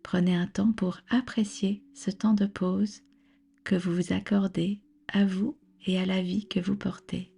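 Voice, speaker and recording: A woman guiding a relaxation meditation as a yoga nidra instructor, with a soft, soothing, breathy delivery and measured, gentle instructions. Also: mid-range voice, flat delivery.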